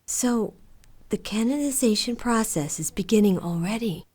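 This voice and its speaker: A woman playing a frail, strong-willed old nun in an audio drama, speaking earnestly and deliberately. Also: on the high side, darkish tone, close to an everyday voice. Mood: wistful.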